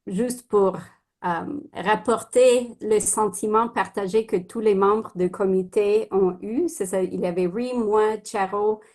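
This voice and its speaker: A woman's voice: medium pitch, a little mellow. A woman co-chairing a prize committee, introducing the guest speaker on a video call in a friendly, slightly hesitant way. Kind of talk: webinar introduction.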